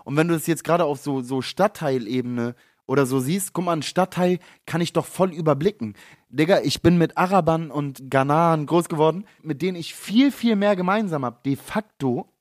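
Animated slangy man. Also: on the high side, fairly quick. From Hamburg. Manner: animated, slangy, restarts often. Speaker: guest. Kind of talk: casual chat.

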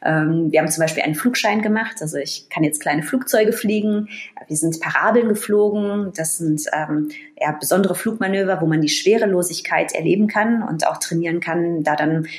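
Low-voiced woman, lively guest. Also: moderate pace, echoey room. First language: bilingual German and English. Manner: lively, animated storytelling with many fillers. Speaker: guest, astrophysicist in astronaut training. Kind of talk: casual interview chat.

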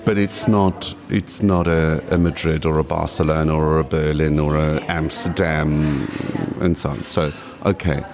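A man's voice: very deep voice, steady tone, unhurried, crowd noise. A man in his late forties with a South African accent, chatting in a casual interview and repeating phrases for emphasis. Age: late 40s. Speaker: podcast guest.

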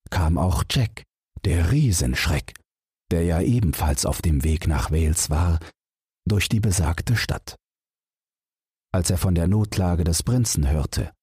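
A man narrates a fairy tale for bedtime in a low, strongly husky voice. He reads slowly, with lively rises and falls in pitch. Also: no room sound, clean studio sound. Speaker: audiobook narrator.

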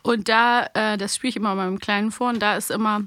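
A woman's voice: medium pitch, steady tone, fast talker, a bit bright. A woman co-hosting a podcast in casual chat, playful and colloquial. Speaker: podcast co-host. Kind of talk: casual chat about children's audio plays.

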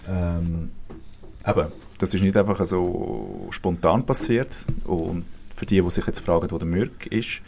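A man hosting a casual podcast in Swiss German, joking and relaxed in his banter. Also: deep voice, unhurried, vocal fry, a little hiss or hum. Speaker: podcast host. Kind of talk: casual chat.

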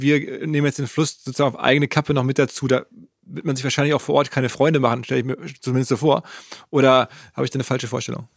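Fast-talking, creaky male interviewer. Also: mid-range voice, dry recording, studio quality. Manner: probing, thinks aloud and rephrases questions. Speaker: podcast interviewer. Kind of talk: conversational interview questioning.

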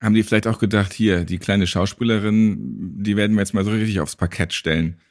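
A man's voice: lowish voice, brisk. A man hosting a podcast interview in a casual, informal and teasing conversational style. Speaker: podcast host.

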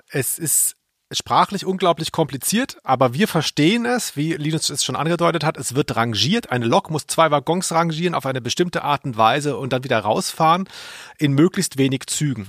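A man's voice: on the high side, lively intonation, a little fast, fairly bright tone, dry recording, clean studio sound. A man hosting a podcast about radio plays, explaining things conversationally in an amused way to his co-host.

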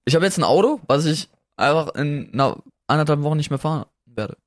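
A man's voice: a little high, lively intonation, brisk, no room sound, clean studio sound. A young man chatting in slang as a podcast co-host, jokey and ironic. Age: young adult. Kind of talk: casual chat with slangy banter.

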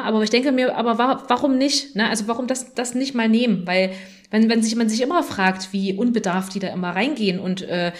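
A woman co-hosting a podcast in casual chat, often ending phrases by seeking agreement. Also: medium pitch. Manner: often seeks agreement with tag questions. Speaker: podcast co-host.

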